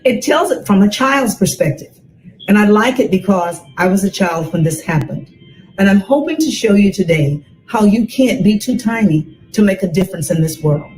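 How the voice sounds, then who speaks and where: An American woman in her sixties reads a children's story aloud with dramatic, deliberate phrasing and emphatic repetition. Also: medium pitch, lively intonation, a little mellow, a little hiss or hum, rough recording. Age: 60s.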